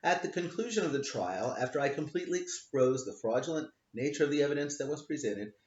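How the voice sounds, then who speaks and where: A man's voice: a little high, animated, quick pace, darkish tone. A man, a lawyer, reading his own book aloud in a wry, offhand way, stressing the punchlines. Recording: roomy, dull lo-fi home recording.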